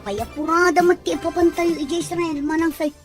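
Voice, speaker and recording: A woman's voice: very high voice, steady tone, fairly quick, faint music behind. A woman acting a reassuring young worker bound overseas in an Ilocano audio drama, with a Filipino Ilocano accent. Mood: keen.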